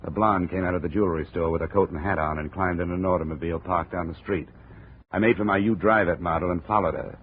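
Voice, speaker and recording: Hard-boiled male sleuth male actor, deadpan wisecracker, vintage radio crime drama, thin hissy sound. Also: deep voice, quick pace, natural voice. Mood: wry, deadpan. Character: wry hard-boiled male insurance investigator, cool under threat. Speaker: narrator and lead actor. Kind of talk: 1950 radio detective drama acting. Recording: tinny, noisy old radio broadcast.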